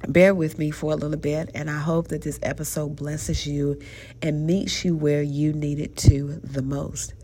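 A woman's voice: low-pitched, a little mellow, slight background noise. A woman in her thirties hosting a faith podcast, speaking earnestly and conversationally with a stuffy nose. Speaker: podcast host.

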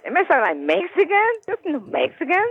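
A woman's voice: sits high, wildly swooping pitch, a little fast, dry recording. A woman with a Chinese accent, a store owner on a phone call, talking bluntly in broken English and laughing often. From China. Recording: tinny phone line.

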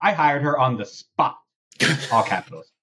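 A man's voice: medium pitch, sing-song, moderate pace, fairly bright tone, slightly rough. A man hosting a casual podcast discussion, talking through and reading out posts. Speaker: podcast host.

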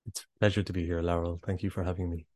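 A man's voice: sits low, flat delivery, fast, dark tone. A man being interviewed as a guest author and yoga teacher, answering in a thoughtful, conversational way. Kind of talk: podcast interview.

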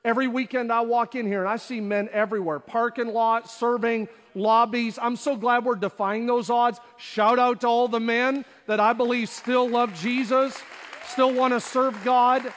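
Pastor, male, high voice, preaching with rhetorical questions, reverberant church auditorium. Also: level tone, normal speed, a bit bright, some chatter behind. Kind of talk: sermon. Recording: long hall reverb.

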